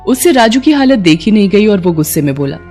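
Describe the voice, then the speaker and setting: Female storyteller, mid-pitched, over soft music. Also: sing-song, fast talker. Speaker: story narrator. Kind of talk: scripted children's moral story narration.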